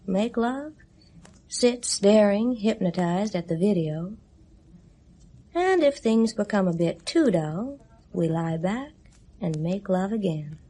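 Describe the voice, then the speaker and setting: A woman's voice: mid-range voice, dark tone, husky. An actress playing a restless, bored young woman in a 1970s radio drama, speaking in a languid, sighing, bitterly weary way.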